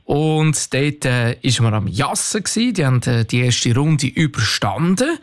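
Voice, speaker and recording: A man's voice: mid-range voice, sing-song, measured pace. A man with a Swiss German accent chattily hosting a live radio quiz game, with music behind him. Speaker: radio quiz show host.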